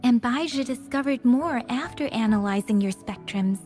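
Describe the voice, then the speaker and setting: High-voiced young woman patiently explaining, acted by a woman. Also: normal speed, darkish tone, faint music behind, close to an everyday voice. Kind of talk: scripted video game dialogue, explaining.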